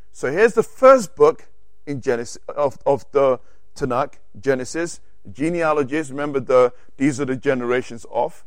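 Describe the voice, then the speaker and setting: A man's voice: mid-range voice, no room sound, clean studio sound. A man with an accent teaching a sermon to a congregation, reading scripture aloud and correcting himself as he goes. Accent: non-native English. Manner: animated, reads scripture aloud, self-correcting asides. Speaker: preacher.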